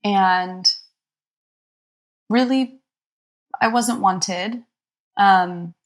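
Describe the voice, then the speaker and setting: A young adult woman therapist, born in Egypt, speaking slowly and reflectively as an interview guest, pausing often with soft ums. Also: mid-range voice. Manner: soft, thoughtful, many pauses and ums.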